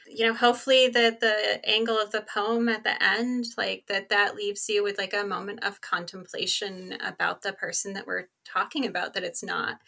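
A woman's voice: mid-range voice, little pitch movement, moderate pace, creaky, few pauses, sharp tone. A woman speaking as a podcast guest in an earnest, informal conversational style, often dropping in "like" and "you know". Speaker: podcast co-host being interviewed as guest. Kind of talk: conversational interview talk.

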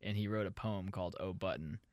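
A man's voice: deep voice, brisk, a little mellow, dry recording, studio quality. A man co-hosting a podcast, casual comedic chat with silly improvised bits. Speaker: podcast co-host.

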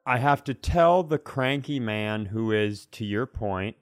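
A man's voice: on the low side, lively intonation, a little slow, no room sound, clean studio sound. A man co-hosting a comedy podcast in casual conversation, rambling through wry anecdotes and speculation.

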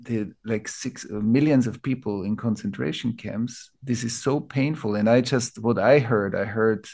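A man from Austria with an Austrian accent, a native German speaker, explaining ideas reflectively as an interview guest, building his thoughts in short, halting phrases. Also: lowish voice, gravelly, darkish tone. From Vienna, Austria. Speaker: interview guest, teacher of collective trauma healing.

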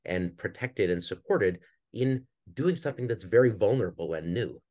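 Low-voiced man in a reflective interview. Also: expressive, moderate pace, dark tone. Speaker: theater director and producer, podcast guest.

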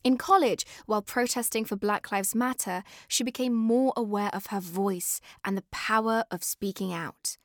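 A British woman narrating a scripted podcast as host, in an expressive, conversational way that speaks straight to listeners. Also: medium pitch, normal speed, hardly any pauses, dry recording, studio quality. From born in Afghanistan, moved to the UK.

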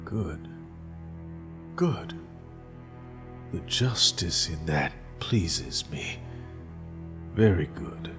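An actor playing an anguished, jealous general in a Shakespeare drama, husky and breathy, veering between hushed muttering and howling. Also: male voice, sits low, lively intonation, drawn-out words, pauses often, music in the background, heavily acted. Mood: cold, vengeful. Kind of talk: dramatic stage-style Shakespeare acting.

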